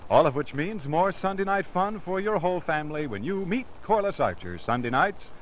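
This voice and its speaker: A man's voice: fairly high pitch, sing-song, normal speed, slight background noise, low-quality recording. A man with an American accent reading credits and promos as a polished old-time radio announcer. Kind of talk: 1950s radio announcing, scripted.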